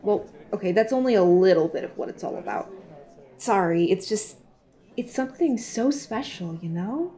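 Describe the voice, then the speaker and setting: A woman's voice: mid-range voice, expressive, moderate pace, mellow, close to an everyday voice. A woman playing a gushing, evasive recruiter for a secretive group in an audio drama, earnest and coy.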